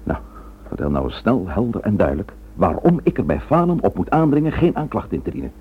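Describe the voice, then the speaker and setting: An actor in a Dutch radio drama playing a stern, gruff police commissioner with a curt, authoritative manner. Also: male voice, lowish voice, sing-song, brisk, phone-quality sound, heavily acted. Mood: stern, probing. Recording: noisy, thin old radio recording with hum.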